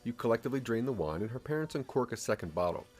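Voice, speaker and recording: A man narrating a scripted audio drama in a measured storytelling manner. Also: mid-range voice, sing-song, a little mellow, soft background music.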